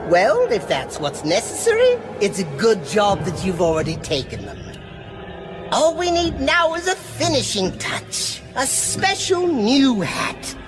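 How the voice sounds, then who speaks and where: A woman's voice: on the high side, wildly swooping pitch, measured pace. A woman voicing a deranged, whimsical hypnotist villain with a creepily possessive, singsong, condescending manner. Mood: creepily playful. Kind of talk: villain character acting. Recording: background music.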